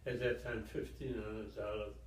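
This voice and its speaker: A man in his nineties from Germany, speaking haltingly in an interview about his past. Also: fairly low pitch, flat delivery. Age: 90s. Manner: halting, with pauses and fillers. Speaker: interviewee, resident. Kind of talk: recounting life story. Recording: echoey room, mic far off, faint ambience.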